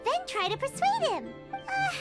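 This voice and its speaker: A woman voicing a tiny, indignant, childlike fairy companion in a video game, squeaky and theatrical. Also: big pitch swings, measured pace, full character voice. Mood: wistful, eager. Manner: speaks of self in third person, theatrical. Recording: game music under voice. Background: playful game music.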